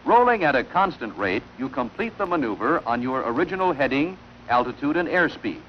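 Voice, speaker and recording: A man delivering formal, measured instructional narration in the style of a wartime training film, on an old film soundtrack. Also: medium pitch, big pitch swings, highs cut off, a little hiss or hum.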